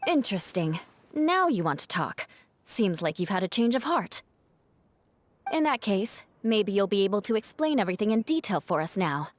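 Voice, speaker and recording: A woman playing a firm, candid young noblewoman in a video game, composed, measured and formal. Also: mid-range voice, big pitch swings, no echo, natural voice. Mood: cool, probing. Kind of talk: video game cutscene voice acting.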